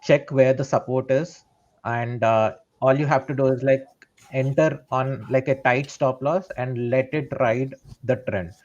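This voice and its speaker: A man's voice: medium pitch, steady tone, a little slow, darkish tone, lo-fi sound. A man, a trader explaining his strategy step by step and often checking in with "right?". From India. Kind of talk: live trading session explanation.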